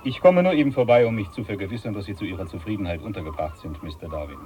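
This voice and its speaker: A man acts a commanding naval captain in a radio drama. His voice is low and dark, his manner brisk, courteous and formal, with faint noise behind him. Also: expressive, close to an everyday voice. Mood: brisk, genial. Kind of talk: German radio play acting.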